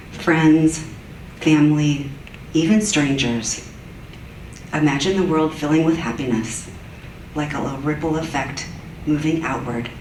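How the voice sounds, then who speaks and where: A woman leading a guided meditation in a soft, gentle, soothing way. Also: sits low, a little hiss or hum. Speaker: meditation leader. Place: church sanctuary. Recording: echoey church room from far off.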